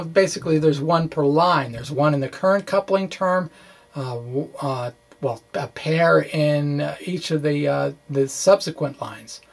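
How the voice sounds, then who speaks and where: A man presenting physics in an informal lecture style, with halting delivery, false starts and folksy, playful phrasing. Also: a little high. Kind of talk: informal lecture walkthrough of equations.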